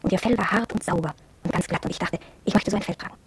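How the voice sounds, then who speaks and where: An actress playing a proud, headstrong young shop apprentice, confiding matter-of-factly in a radio drama monologue, with a husky voice. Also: female voice, medium pitch, very fast, no room sound. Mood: confiding, defiant.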